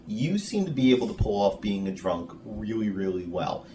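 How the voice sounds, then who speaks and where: Male podcast interviewer, lowish voice, emphatic and complimentary, casual delivery over light room noise. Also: sing-song, moderate pace, dark tone. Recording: faintly noisy room ambience.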